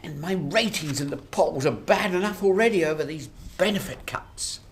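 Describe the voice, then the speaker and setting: A woman acting an embattled, weary Prime Minister in a radio drama, expressive. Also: sits low, normal speed, a little hiss or hum. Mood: anxious, embattled.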